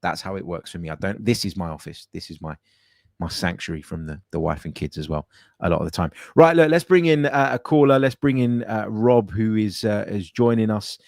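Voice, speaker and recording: Male podcast host with a low voice and a dry home-office sound, casual and chatty. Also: brisk, creaky, darkish tone, no room sound. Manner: conversational, chatty, with frequent fillers and restarts. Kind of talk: casual call-in podcast chat.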